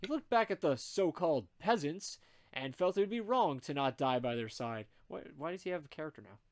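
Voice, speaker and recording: A man narrating fan fiction in an amateur comedic group reading, animated and dropping wry asides. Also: fairly high pitch, fairly quick.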